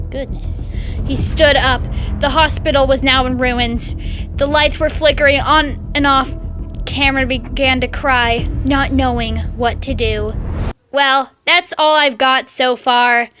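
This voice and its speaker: A woman's voice: high voice, a bit bright. A woman with a girlish voice narrates fan fiction and keeps breaking off for informal asides. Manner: informal, self-interrupting. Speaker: host reading fan fiction. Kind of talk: story narration with casual asides.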